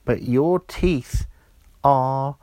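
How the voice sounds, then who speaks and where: A man voicing a little elf who slowly warns a boy about his teeth. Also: medium pitch, warm tone, no room sound. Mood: warning. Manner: slow, drawn-out, warning. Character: small wise scolding male elf.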